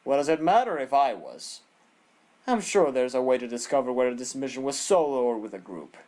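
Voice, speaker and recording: A man's voice: medium pitch, big pitch swings, brisk, a little mellow, close to an everyday voice. A man acting a haughty, condescending bird prosecutor in a courtroom audio drama. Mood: forceful, confident. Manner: pompous, condescending, theatrical.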